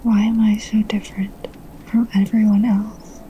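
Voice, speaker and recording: A woman's voice: mid-range voice, level tone, measured pace, mellow, lo-fi sound, a little hiss or hum, lightly acted. A woman playing an anxious, confused woman in a sci-fi ASMR roleplay, whispering in a hesitant, musing way. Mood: worried musing. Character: anxious, confused woman who alone kept her memory.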